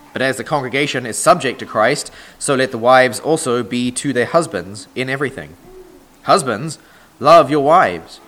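A man preaching a sermon with a scratchy, hoarse voice from a sore throat. He reads scripture and then teaches in a steady, measured cadence, at an ordinary middle pitch for a man. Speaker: pastor.

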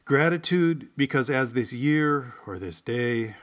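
A man hosting solo in a folksy, unhurried way, like a writer sharing his own work. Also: mid-range voice, animated, a bit bright. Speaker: podcast host and writer. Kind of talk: casual monologue and reflective essay reading.

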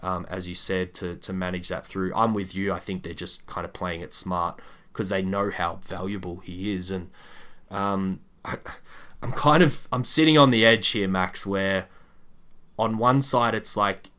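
A man's voice: deep voice, fairly even intonation, unhurried, a bit bright. A man co-hosting a sports podcast, chatting casually about basketball with enthusiastic, opinionated delivery and casual swearing.

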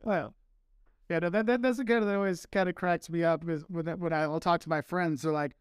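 A man's voice: fairly high pitch, fairly quick, smooth, dry recording, studio quality. An American man, a marketing professor and travel vlogger, telling stories in a casual podcast chat and acting out the voices he quotes. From United States. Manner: animated storyteller, acts out quoted voices.

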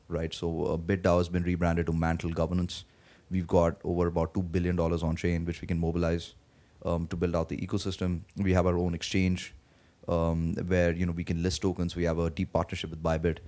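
Man, very low voice, flat delivery, worn voice, halting answers, interview guest. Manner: halting, many fillers and restarts. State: voice worn from days of talking. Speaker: guest, blockchain project team member. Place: conference venue.

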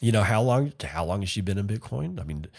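A man's voice: fairly low pitch, very animated, fast, creaky, darkish tone, husky. A man hosting a solo podcast, talking casually and rambling, often correcting himself mid-sentence. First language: not Finnish. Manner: rambling, self-correcting, chatty. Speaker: podcast host.

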